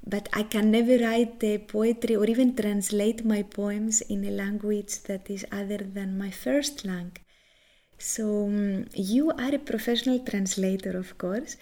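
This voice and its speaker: A woman whose first language is Greek, interviewing a guest in a soft-spoken way. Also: medium pitch, steady tone, a little slow. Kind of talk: interview questions.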